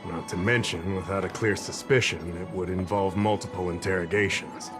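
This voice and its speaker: An actor playing a casual, chatty middle-aged security officer who rambles a little in a game cutscene. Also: male voice, deep voice, fairly even intonation, normal speed, lightly acted. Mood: explaining. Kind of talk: game voice acting. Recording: game cutscene with music behind.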